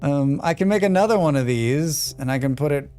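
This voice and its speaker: A man's voice: fairly high pitch, lively intonation, fairly quick, dark tone, clear, resonant tone, faint music behind. A man with a scratchy voice, muttering and thinking aloud as he streams a game. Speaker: streamer playing a demo. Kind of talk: live gameplay commentary.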